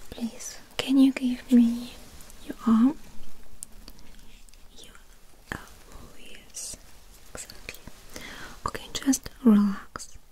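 A young woman performs an ASMR medical roleplay as a gentle doctor. Her voice is a fairly high, mellow murmur that keeps dropping into whispers. She speaks extremely slowly, in short, hushed, reassuring phrases. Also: fairly even intonation, breathy edge, poor audio. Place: small dim indoor room.

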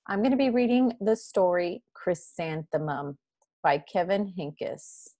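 A woman reads a picture book to children in the manner of a teacher. Her voice sits a little low and moves up and down a lot, with deliberate, expressive phrasing. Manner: deliberate, animated. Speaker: teacher reading aloud. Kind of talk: expressive children's storybook read-aloud.

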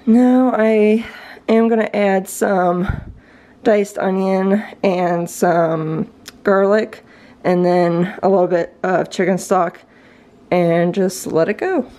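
A woman in her thirties chatting casually about what she's cooking in her home kitchen, relaxed and self-deprecating. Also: medium pitch, slow pace. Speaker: home vlogger. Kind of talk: casual voice-over chat while cooking.

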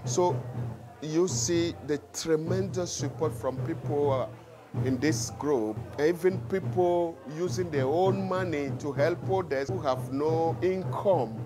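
A man in his forties from Togo, interviewed in a community hall, speaking earnestly with halting restarts. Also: fairly high pitch, music in the background. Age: 40s. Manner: earnest, halting, repeats words. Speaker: community drum group leader.

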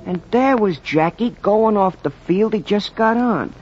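High, animated, slangy storyteller. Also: male voice, unhurried, soft background music. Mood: wry, colorful. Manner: folksy, theatrical. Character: slangy, colorful storyteller, wisecracking baseball fan. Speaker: narrator. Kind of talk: 1940s radio drama narration. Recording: dull, faintly noisy 1940s radio recording.

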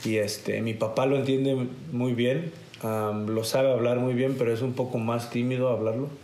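A man's voice: mid-range voice, flat delivery, moderate pace, warm tone, echoey room. A man in his twenties, born in the US, telling stories from childhood in a conversational way full of fillers. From United States. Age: 20s. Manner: conversational, many "este" fillers. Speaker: guest. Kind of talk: casual storytelling. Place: garage set with couch, indoors.

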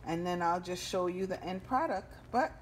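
A woman's voice: lowish voice, expressive, moderate pace, a little hiss or hum, low-quality recording. A woman hosting a home cooking video, chatting casually and folksily to her viewers as she cooks at the stove. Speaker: home cooking channel host. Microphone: handheld phone camera.

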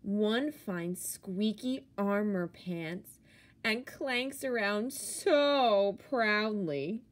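A woman who is a teacher, reading a picture book aloud to children with expressive, theatrical emphasis on key words. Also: medium pitch, extremely slow.

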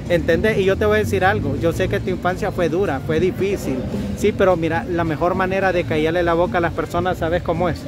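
A man interviewing someone outdoors in a city plaza, explaining things calmly and giving advice step by step. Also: high voice, quick pace, over loud music. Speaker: street interviewer. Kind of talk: advising interview talk.

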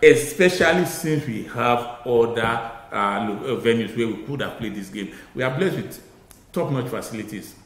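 A man's voice: mid-range voice, lively intonation, normal speed, fairly bright tone, roomy sound. A middle-aged Nigerian man giving opinions as a football pundit in a studio, talking emphatically and repeating his key points. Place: small TV studio with glass-block wall. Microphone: lapel.

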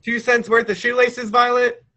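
Twelve-year-old boy, teenage male actor: very high, bright, forceful voice, cocky yet polite, radio play delivery. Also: level tone, normal speed. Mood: cheerful, boastful.

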